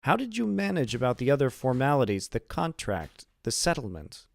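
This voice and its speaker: A man's voice: medium pitch, big pitch swings, normal speed, vocal fry, no room sound, clean studio sound, pitched above the performer's own voice. A man voicing an uneasy, timid male guest in a storybook, with a questioning, insinuating manner.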